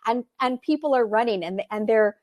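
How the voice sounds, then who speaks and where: A woman business coach hosting a live online Q&A, talking fast and encouragingly while fighting a cold. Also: fairly high pitch, dull audio.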